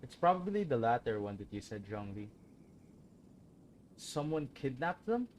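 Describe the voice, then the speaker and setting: A man's voice: medium pitch, very animated, measured pace, a little mellow, a little hiss or hum. A man casually commenting and reacting as a streamer playing through a video game. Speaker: gaming streamer. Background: game music.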